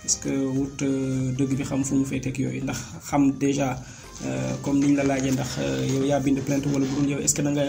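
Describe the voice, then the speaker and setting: A man interviewing, posing questions in a hesitant way with many pauses. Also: medium pitch, monotone, vocal fry, dark tone, faint music behind.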